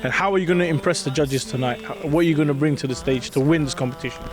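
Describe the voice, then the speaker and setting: A man's voice: fairly high pitch, very fast. A man hosting a competition show, interviewing a contestant in an upbeat, encouraging way. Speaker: show host.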